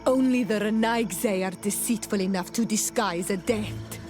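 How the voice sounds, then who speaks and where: A woman's voice: medium pitch, moderate pace, lightly acted. Woman playing a proud, stern warrior. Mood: indignant, stern. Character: proud, blunt female native islander warrior. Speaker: game character voice actor. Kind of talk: dramatic video game acting. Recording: background music behind the voice.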